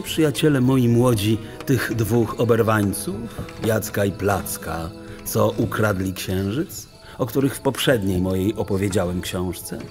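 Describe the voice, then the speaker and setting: A man tells a children's story with theatrical, deliberate phrasing. His somewhat low voice rises and falls widely as he takes his time over literary sentences. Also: a little mellow. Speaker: audiobook narrator. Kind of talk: expressive children's storybook narration. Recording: music under the voice. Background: music.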